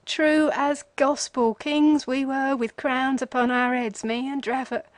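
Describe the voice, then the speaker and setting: Lady putting on a cracked whiny old ragged guy, high and pleading, dry sound. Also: flat delivery, a little slow, no echo. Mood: desperate. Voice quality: whining, cracked. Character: broken ragged old male wanderer, whimpering. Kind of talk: storybook reading.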